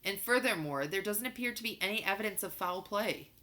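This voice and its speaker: A stern, patient mother in a children's novel, as a woman reads her aloud. The voice is bright and she corrects firmly. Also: mid-range voice, lively intonation, brisk, voice pushed up. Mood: patient, explaining. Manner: firm, admonishing.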